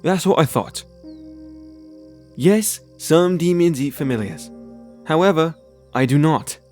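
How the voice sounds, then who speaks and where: An actor voicing a charismatic demon fiddler, roguish and protective with a wounded side, in a theatrical audio drama style. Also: male voice, on the high side, animated, slow pace, darkish tone, faint music behind. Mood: earnest, resigned.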